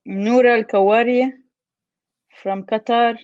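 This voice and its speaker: A woman's voice: a little high, fairly even intonation. A woman co-hosting an online workshop over a video call, reading out chat messages and greeting participants. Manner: warm greetings, unhurried. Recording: muffled video call audio.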